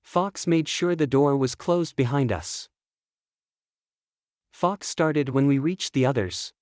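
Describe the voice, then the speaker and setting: A man's voice: medium pitch, slow, darkish tone, dry recording, studio quality. A man reading scripted first-person story narration as the author of a fantasy audiobook.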